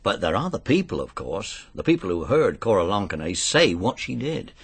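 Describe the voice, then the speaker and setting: An actor playing a plain-spoken, polite police inspector in a British radio drama, matter-of-fact and deferential. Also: male voice, on the low side, big pitch swings, moderate pace, slightly rough, no room sound, exaggerated voice. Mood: dry, sceptical.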